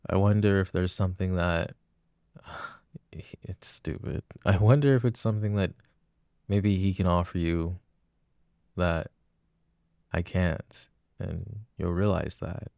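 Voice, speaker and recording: A man's voice: deep voice, fairly even intonation, takes it slowly, vocal fry, long pauses, clean tone, no echo, studio quality, exaggerated voice. A man playing a jealous, insecure but devoted boyfriend in an intimate roleplay audio, speaking in a hesitant, earnest way. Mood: vulnerable, insecure. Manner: hesitant, earnest, soft-spoken pleading. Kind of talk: intimate boyfriend roleplay ASMR, acted monologue.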